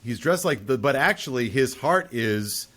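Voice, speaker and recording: A man with a mid-pitched voice hosts a casual film discussion. He speaks in a conversational, unscripted podcast style. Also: lively intonation, moderate pace, a bit bright.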